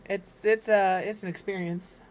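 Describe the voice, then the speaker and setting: A woman from Minnesota chats casually while she streams from home. Her mid-pitched voice is slightly hoarse and a little crackly. She rambles and makes fun of herself, and a faint background noise hums behind her. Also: steady tone, a little slow, darkish tone. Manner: rambling, chatty, self-deprecating. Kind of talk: casual chat while gaming.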